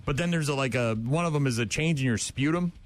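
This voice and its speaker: A man's voice: medium pitch, fast. Middle-aged radio guy, blunt and jokey, telling stories, faint music under him. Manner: blunt, joking, animated storyteller. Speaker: radio morning show host. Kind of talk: casual on-air banter.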